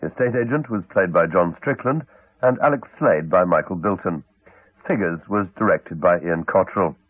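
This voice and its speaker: A British man making scripted radio continuity announcements in a measured, formal way. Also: low-pitched, dry recording. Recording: dull 1980s radio broadcast, dry, faint music.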